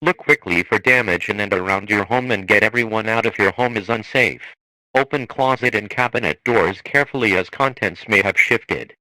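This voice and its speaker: A man reading a scripted emergency broadcast in a formal, measured manner. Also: lowish voice, pushed and bright, no echo, low-quality recording. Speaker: emergency alert announcer.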